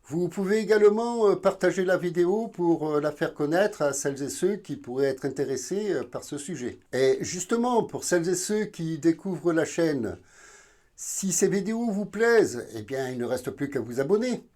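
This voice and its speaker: A man from southern France hosting an educational video, explaining informally in a halting, didactic way. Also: a little high, lively intonation, a little mellow. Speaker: video channel host.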